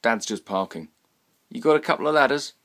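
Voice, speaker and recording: A man's voice: medium pitch, lively intonation, fairly quick, a bit bright, pitched above the performer's own voice. A man voicing a thin teenage boy, a tradesman's son, casual and cheeky, in a story reading. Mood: chatty.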